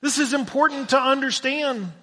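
High-voiced preacher in a reverberant hall. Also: male voice, normal speed. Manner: swings from low hushed lines to loud shouted emphasis; repeats words when stumbling. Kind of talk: sermon.

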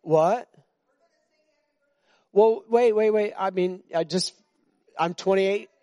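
A pastor in his sixties preaches in a loose, conversational way, joking with his flock and stumbling over restarts. His voice sits a little high for a man. The sound is dry and close, with almost no room echo. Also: takes it slowly, dark tone, dull audio. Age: 60s. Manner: chatty and joking, with frequent restarts. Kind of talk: informal sermon to a congregation. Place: church.

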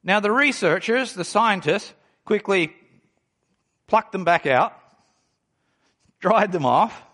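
A man in his forties preaching a sermon, reading scripture aloud and explaining it, slowing down to stress key phrases. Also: sits high, animated, fairly bright tone. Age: 40s. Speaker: pastor.

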